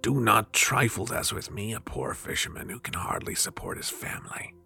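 A man's voice: on the low side, wildly swooping pitch, moderate pace, creaky, bright, forceful tone, faint music behind, full character voice. A man voicing a poor, hapless fisherman from a storybook, plaintive, frightened and pleading in a dramatic way. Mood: lamenting. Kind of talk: storybook character.

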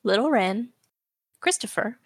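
A woman co-hosting a podcast, reading a list of names and a scripted message at an even pace. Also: a little high, unhurried, slightly rough. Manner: reads out a list of names evenly. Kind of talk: scripted read.

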